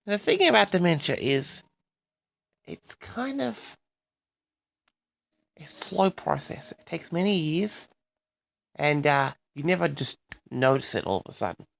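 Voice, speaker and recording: Male solo audio-log host. Very gravelly, swinging intonation, halting monologue addressed to listeners, dry close sound. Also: on the high side, big pitch swings, fairly bright tone, no echo. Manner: halting, pausing often, repeatedly addresses the audience. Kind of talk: rambling solo monologue to an audience.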